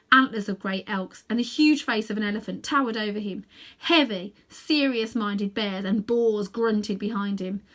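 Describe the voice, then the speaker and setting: A woman narrating a children's storybook aloud in an expressive reading style. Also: medium pitch. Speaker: storybook reader.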